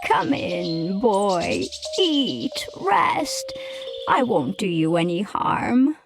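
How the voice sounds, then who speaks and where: A woman voicing a sly old witch granny in a fairy-tale game, creaky and sing-song, falsely welcoming. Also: mid-range voice, sing-song, extremely slow, over music, full character voice. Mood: coaxing.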